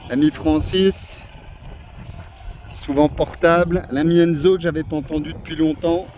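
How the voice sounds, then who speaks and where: A man's voice: a little high, steady tone, unhurried, a little mellow. A man, a radio operator, chatting casually and amiably in rambling radio jargon while outdoors. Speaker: amateur CB radio operator making a video. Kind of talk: casual radio contact chat. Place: outdoors in a windy spot. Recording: outdoor recording with wind and traffic noise.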